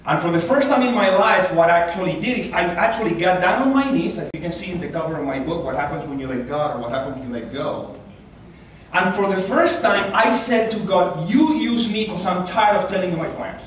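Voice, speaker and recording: A man with a Puerto Rican accent giving an earnest, animated inspirational talk as a guest speaker at a church. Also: a little fast, a little hiss or hum. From Puerto Rico. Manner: earnest, animated, rises in pitch when stressing a point. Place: large church hall. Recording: echoey hall, taken from far off.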